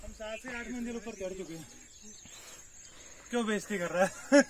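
A man's voice: high-pitched, normal speed. A man joking and teasing a friend on a hike outdoors, caught from off-camera by a phone. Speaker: hiking companion. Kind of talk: casual banter. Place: outdoors on a hill trail. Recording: distant voice over outdoor noise.

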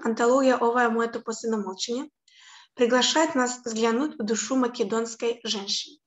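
Young woman lecturer on a webcam, slightly high voice, measured delivery with hesitant fillers, echoey room. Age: young adult. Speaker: lecturer on Slavic poetry. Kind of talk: online lecture. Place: home room with bookshelves. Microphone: webcam on video call.

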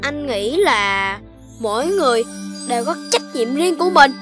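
Little boy puppy, high pitch, earnest, kind, children's cartoon, music behind. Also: lively intonation, moderate pace. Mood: earnest, encouraging. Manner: earnest, thoughtful.

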